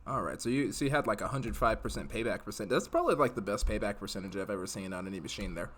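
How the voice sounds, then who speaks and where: A man's voice: fairly low pitch, fairly bright tone, a little hiss or hum. A man hosting a podcast, chatty and joking as he interviews a guest. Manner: chatty, joking, quick laughs. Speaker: podcast host.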